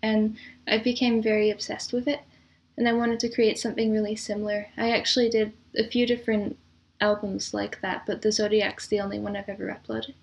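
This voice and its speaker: A woman's voice: medium pitch, little pitch movement, fairly quick, vocal fry. A woman speaking casually as an interview guest, a composer talking about creative work. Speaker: interview guest, composer and audio drama producer.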